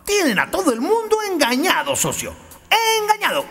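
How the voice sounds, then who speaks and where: A man voicing a flamboyant, excitable young soccer player with dramatic, slangy delivery in a cartoon. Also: extremely high pitch, normal speed, vocal fry, heavily acted. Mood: triumphant.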